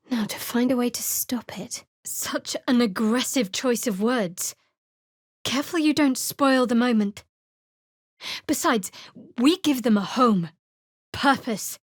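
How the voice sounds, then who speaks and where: A woman playing a guarded, wry young cleric of a dark goddess in a video game, speaking in a dry, measured, sardonic way. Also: on the high side, no room sound. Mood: wary, curious.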